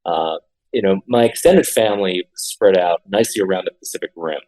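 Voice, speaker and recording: A man in his forties tells his career story to an interviewer in a somewhat low voice. He is earnest and often halts, repeating his words between fillers. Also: fairly quick, darkish tone, poor audio. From Honolulu, Hawaii. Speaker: guest architect. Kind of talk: conversational interview.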